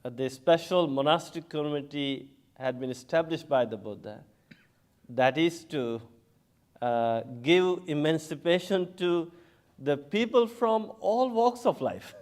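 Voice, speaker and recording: A man who is a Buddhist monk from Bangladesh, giving an unhurried symposium talk with frequent chuckles and hesitations. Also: a little high, a little mellow. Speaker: Buddhist monk, panel speaker.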